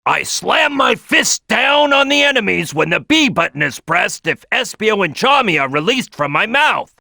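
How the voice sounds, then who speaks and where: Brash gravelly cartoon crocodile, high-pitched and forceful. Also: male voice, sing-song, normal speed, dry recording, full character voice. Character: big brash loud male cartoon crocodile, team leader. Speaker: video game character giving gameplay tips. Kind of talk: animated game tutorial voice lines.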